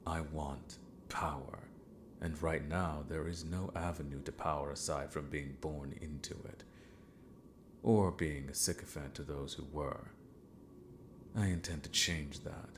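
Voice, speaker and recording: Guy doing a scheming evil mentor for asmr, super deep gravelly voice, calm n formal, half whispering, kinda unhinged. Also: noisy background. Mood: scheming, ambitious. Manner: slow, deliberate, formal, dropping often into whispered muttering. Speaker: villainous mentor training an apprentice. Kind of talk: scripted ASMR roleplay.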